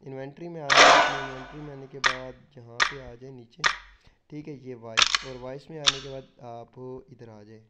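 Brief: casual gaming YouTuber, mid-pitched man, easy chatty tutorial voice-over, heavy background hiss. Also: steady tone, moderate pace. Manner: chatty, repeats okay and simple steps. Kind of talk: casual game walkthrough.

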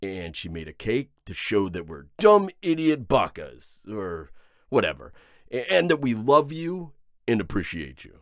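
A man plays a cocky, soft-hearted himbo horseman of war in an audio comedy. His lowish voice jumps around animatedly, with crude dude-bro phrasing that trips over itself, recorded very dry. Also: sing-song, measured pace, dry recording. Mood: flustered. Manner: dude-ish, crude, stumbling when emotional. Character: brash, macho, flustered himbo horseman of war. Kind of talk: boyfriend ASMR comedy roleplay.

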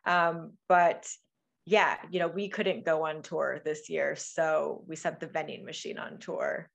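A woman's voice: deep voice, steady tone, unhurried, gravelly, dull audio. A woman in her thirties from Oregon, a guest author chatting casually on a video call, often restarting her sentences. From Oregon, USA. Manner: chatty, many restarts and fillers. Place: home room with bookshelves. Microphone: video call webcam.

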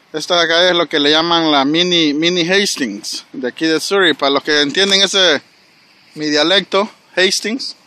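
A man's voice: a little high, bright, forceful tone. A man, narrating a casual walking vlog outdoors on a phone, chatty and speaking straight to his viewers. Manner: chatty, addresses viewers directly, frequent "¿verdad?". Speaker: vlogger walking and filming. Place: snowy city street outdoors. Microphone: handheld phone camera. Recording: outdoor street noise, passing traffic.

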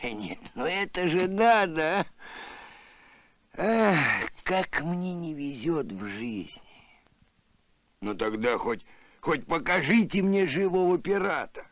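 Swooping, blustering big cartoon alien. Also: male voice, a little high, big pitch swings, slow pace, long pauses, fairly bright tone, no echo, heavily acted. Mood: dismayed. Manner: blustering, lamenting. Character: huge excitable multi-armed male alien, blustering, good-hearted.

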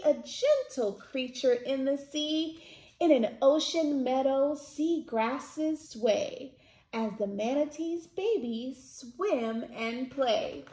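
A woman in her thirties hosting children's storytime, reading picture books aloud in an expressive, sing-song way. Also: sits high. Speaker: children's storytime host at a library. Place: indoors, small plain-walled room. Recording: roomy echo from camera mic.

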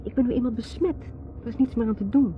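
A woman's voice: on the high side, fast, lightly acted. An actress playing a guarded, troubled society mother in a radio drama, speaking with hesitant reserve. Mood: resigned, troubled. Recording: noisy, muffled old radio drama recording.

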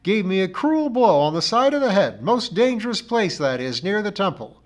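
A man's voice: sits high, very animated, normal speed, pitched above the performer's own voice. A man voicing a belligerent, self-pitying village cleaning woman with a rural English accent, declaiming her grievances in a storybook reading. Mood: dramatic. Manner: declamatory, rhythmic, dropped g's, indignant.

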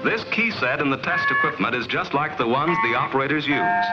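An American man reading scripted 1950s promotional film narration in a measured, explanatory, clearly enunciated way. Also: medium pitch, expressive, loud music behind the voice. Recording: dull vintage film soundtrack.

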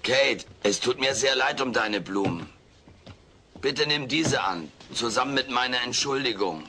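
A man playing a cheeky furry alien in a sitcom audio play, raspy and glib, sarcastically dodging blame. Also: medium pitch, animated, a little slow, noisy background, lightly acted. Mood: mock innocent. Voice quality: raspy, gravelly. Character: cheeky, wisecracking furry alien houseguest, male.

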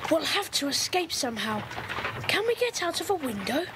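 A woman's voice: high voice, big pitch swings, normal speed. A woman voicing Colin, an anxious, reluctant boy in an audio drama, on noisy tape. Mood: worried. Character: nervous young boy sidekick, Colin.

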